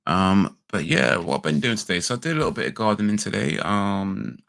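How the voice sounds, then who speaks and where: A man's voice: sits low, lively intonation, fairly quick, gravelly, fairly bright tone, no echo, clean studio sound. A man hosting a casual livestream, rambling and correcting himself with laid-back slang, his voice a little crackly today. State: slightly crackly voice. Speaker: livestream host. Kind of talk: casual chat.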